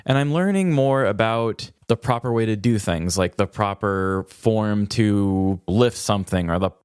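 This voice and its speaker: A man's voice: on the low side, measured pace, darkish tone, smooth, no room sound, clean studio sound. A male podcast co-host chatting casually in a candid, self-deprecating way. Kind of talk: casual conversational chat.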